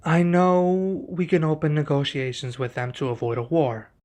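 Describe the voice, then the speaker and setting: A man playing a young king and protective husband in an ASMR roleplay, speaking in a formal, measured, deliberate way. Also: on the high side, little pitch movement, a little mellow, natural voice. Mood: pensive, measured.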